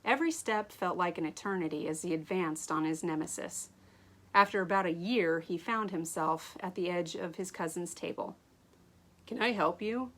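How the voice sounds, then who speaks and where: A woman author reading her own story aloud in an animated way, now and then stumbling and rereading. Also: fairly low pitch, moderate pace. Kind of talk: expressive read-aloud storytelling.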